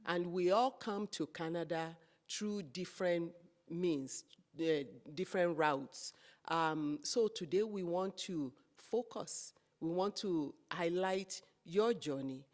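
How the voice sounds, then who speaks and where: A woman hosting an interview who talks at an unhurried pace. Her voice sits somewhat low, and she hesitates often, filling pauses with fillers as she frames her questions. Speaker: host. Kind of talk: interview conversation.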